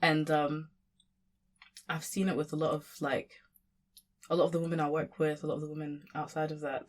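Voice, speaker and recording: Young woman talking in reflective bursts. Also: low-pitched. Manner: reflective, many fillers and restarts. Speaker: guest, community worker. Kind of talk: casual conversation.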